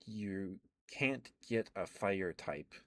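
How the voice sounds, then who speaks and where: A man rambling casually in an ASMR livestream style, halting and restarting his words as he goes, close to the microphone. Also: deep voice, lively intonation, a bit bright. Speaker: livestream host.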